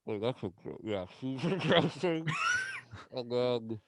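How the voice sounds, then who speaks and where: A man's voice: mid-range voice, lively intonation, slow, raised voice, very noisy. A man hosting a podcast, chatting casually and teasing his guest with jokes. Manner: teasing, joking, laughs often. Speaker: podcast host. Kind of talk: casual chat.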